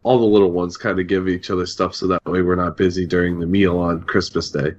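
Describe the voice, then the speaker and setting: A man's voice: sits low, little pitch movement, a little fast. A man talking casually as a podcast co-host, recorded with slightly dull, lo-fi audio. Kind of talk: casual chat.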